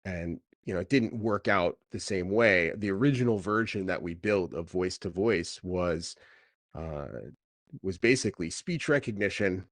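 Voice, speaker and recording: A man with a very low voice talks casually in an interview, as a founder explaining his work. He pauses and pads his sentences with filler phrases, and the sound is close and dry. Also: fairly bright tone, no room sound. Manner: hesitant, halting delivery with many filler phrases. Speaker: tech founder being interviewed.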